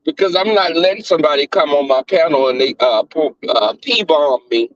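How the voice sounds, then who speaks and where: A man with a Southern accent hosting a live stream in casual chat, crass and flamboyant, often leaping into high squeals. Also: moderate pace. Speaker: livestream host. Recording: dull lo-fi stream audio.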